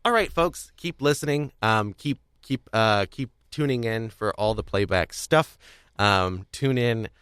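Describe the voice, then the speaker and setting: A man hosting a conversational podcast interview, speaking in a halting, rambling way as he searches for words. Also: on the low side, fairly bright tone, smooth, no room sound, studio quality. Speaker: podcast host.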